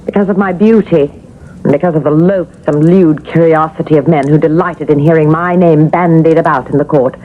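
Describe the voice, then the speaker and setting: Vain ghostly beauty on tinny old radio, played by an actress. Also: female voice, deep voice, fairly even intonation, brisk, close to an everyday voice. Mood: indignant pride. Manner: haughty, theatrical, teasing. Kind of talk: radio drama acting. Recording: noisy, narrow-band vintage radio broadcast.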